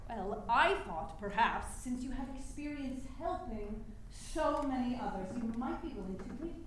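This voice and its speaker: A woman's voice: a little high, expressive, moderate pace, natural voice. A woman playing a determined, pleading nineteenth-century suffragist in a staged play reading, speaking earnestly in formal, persuasive phrases. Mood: pleading, insistent. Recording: very echoey room, faint noise.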